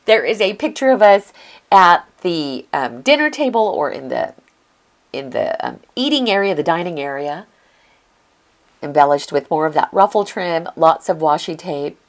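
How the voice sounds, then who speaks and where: An older woman narrating a casual flip-through of her craft journal, chatty and gushing, her voice slightly hoarse from a lingering illness. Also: on the low side, expressive, normal speed. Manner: chatty and gushing, with occasional throat clearing.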